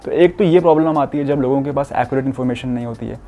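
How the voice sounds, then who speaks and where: Young man, doctor explaining to camera, earnest, warm and mellow, seated with a lapel mic in a quiet room. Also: medium pitch, racing, faint music behind. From India. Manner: earnest, explanatory, mixes English medical terms into speech. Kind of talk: explanatory health talk to camera. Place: furnished studio room with curtains, indoors.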